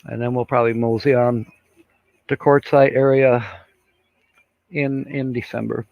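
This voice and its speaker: A man's voice: mid-range voice, level tone, measured pace, vocal fry, no room sound. An older man hosting a casual live stream, rambling and chuckling, with many fillers as he reads out viewer comments. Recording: dull lo-fi sound.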